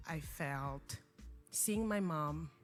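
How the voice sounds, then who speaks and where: A young woman with a notably low voice speaks English as a French native. She reflects earnestly as an interview guest, restarting phrases often. Faint music sits under her. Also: drawn-out words. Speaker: guest, organization founder. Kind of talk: interview conversation.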